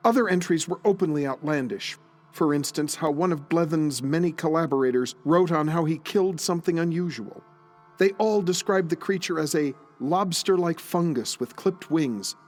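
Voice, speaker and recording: A man's voice: on the high side, moderate pace, faint music behind. A man reading scripted story narration as the narrator of an audio drama, in a solemn, literary storytelling manner.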